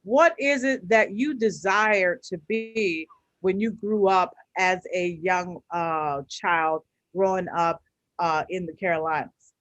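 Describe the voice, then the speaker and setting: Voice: mid-range voice, takes it slowly, a bit bright, no room sound. An upbeat host leads an online interview over a video call. The sound is dry and close, and the host keeps the talk playful with call-and-response.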